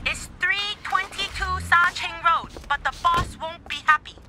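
Stern police radio dispatcher, firm, commanding, high voice, loud game music behind. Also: female voice, a little slow.

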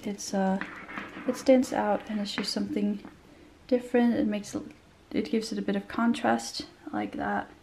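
A woman's voice: medium pitch, little pitch movement, a little slow, a little mellow, a little hiss or hum, rough recording. A young woman reviewing a handbag in a chatty, descriptive way, a bit nasal as she recovers from a cold, recorded in a small room. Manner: chatty and descriptive, many fillers. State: slightly nasal after a cold. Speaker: bag reviewer. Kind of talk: casual product review.

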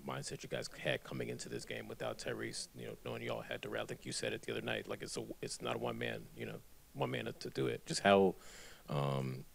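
A man's voice: rumbling low, creaky, slightly rough. A male reporter asking long, rambling questions at a press conference.

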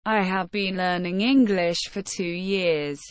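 A woman reading short English phrases for learners, slow and careful, evenly spaced. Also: on the low side, clear, resonant tone, dry recording.

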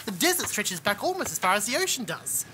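A woman playing a wide-eyed, chatty fantasy traveller with an excitable manner in an audio drama. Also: mid-range voice, quick pace, very noisy, natural voice. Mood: easygoing. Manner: animated, excitable. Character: wide-eyed, chatty traveller who never eats or rests.

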